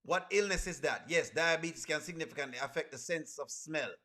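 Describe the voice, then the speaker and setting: A man with a Caribbean accent and a fairly high, very bright, forceful voice. He chats casually as a livestream host reacting along with viewers, and often says a phrase over again for emphasis. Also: fairly quick.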